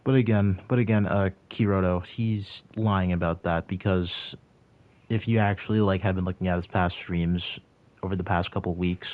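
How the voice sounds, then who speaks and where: Deep-voiced young man, tinny phone-like sound. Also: fairly even intonation, moderate pace. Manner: rambling, heavy on "like" and restarts. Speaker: streamer answering chat. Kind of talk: casual livestream chat.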